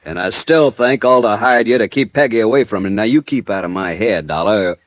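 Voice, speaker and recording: A man's voice: on the low side, brisk, no room sound, natural voice. A belligerent young foreman in a classic radio detective drama, acted by a man. He speaks, in short confrontational bursts, like a working tough guy. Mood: hostile, suspicious. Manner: confrontational, curt. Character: belligerent young American oil-field foreman, hot-headed tough guy. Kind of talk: old-time radio detective drama acting.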